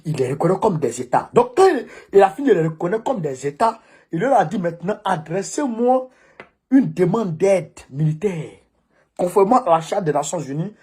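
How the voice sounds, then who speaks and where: Man, West African French accent, husky rough-edged voice, informal political commentary, emphatic delivery, repeated phrases. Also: high voice, a little mellow.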